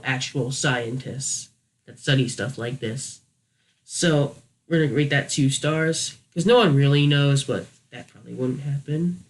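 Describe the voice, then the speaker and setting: A young man with a slightly high voice talks through a casual review. He sounds unscripted and a little hesitant, working out his opinions aloud as he speaks. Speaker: YouTube reviewer.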